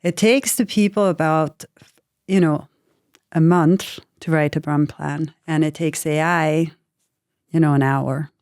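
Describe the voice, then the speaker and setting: A woman's voice: sits low, fairly even intonation, a little slow, darkish tone, no room sound, studio quality. A woman in her forties from Switzerland, talking frankly as a guest in an interview, often adding "you know" and "right". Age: 40s. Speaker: guest, AI lead in pharma. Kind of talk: casual interview conversation.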